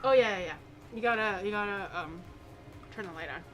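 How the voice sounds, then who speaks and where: A woman whose first language is Mandarin, hosting a casual livestream chat in a home kitchen as a lively storyteller who laughs often. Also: mid-range voice, a little fast, soft background music. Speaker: streamer host.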